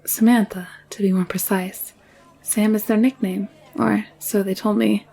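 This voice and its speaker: A woman playing an anxious, secretive young alien nurse in an intimate audio drama, confiding in a hushed, hesitant way. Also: medium pitch, flat delivery, a little slow, vocal fry, husky, low-quality recording. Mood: confiding, insistent. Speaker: voice actor in audio roleplay.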